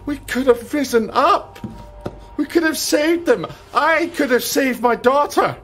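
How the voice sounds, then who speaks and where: An actor playing a blunt, guilt-ridden Scottish ex-sergeant in a dramatic video game. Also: male voice, extremely high pitch, lively intonation, a little slow, a bit bright, full character voice. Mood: agitated, accusing.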